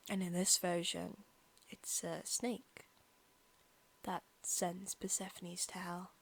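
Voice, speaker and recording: A young woman playing a possessive female vampire student in an intimate ASMR roleplay, softly spoken, partly whispered, coy and taunting. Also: fairly low pitch, vocal fry, exaggerated voice. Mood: musing. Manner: soft, slow, coy talk sliding into taunting. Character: female student vampire, possessive, sweet-talking, menacing predator.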